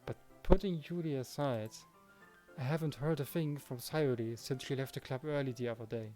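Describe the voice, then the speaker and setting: A man's voice: medium pitch, normal speed. A man reading first-person visual novel narration and the protagonist's lines in a dramatized way, with music behind him.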